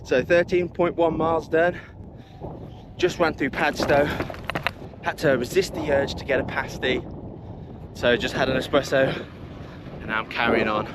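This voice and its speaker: A man's voice: medium pitch, fairly even intonation, moderate pace, long pauses. A man in his early thirties talking casually as a vlogger about his run, partly outdoors on an action camera mic. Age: early 30s. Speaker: vlogger, amateur long-distance runner. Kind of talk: casual running vlog talk and narration to camera. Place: outdoors on a coastal trail for much of it. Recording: outdoor wind and ambient noise.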